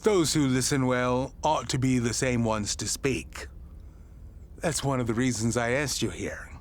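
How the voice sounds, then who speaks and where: An actor playing a genial, eccentric older radio station boss in an audio drama, speaking in a courtly, halting, wordy way. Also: male voice, mid-range voice, steady tone, natural voice. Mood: earnest, musing.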